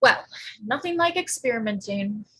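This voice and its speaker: A woman playing a wry, quick-witted teenage girl in a table read, with a deadpan, sarcastic delivery. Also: on the high side, dry recording. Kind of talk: live table read acting.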